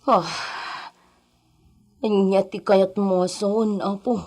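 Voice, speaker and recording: A woman's voice: medium pitch, steady tone, drawn-out words, darkish tone. A woman playing a meek, frightened captive who pleads falteringly for her life in a radio drama. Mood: fearful pleading.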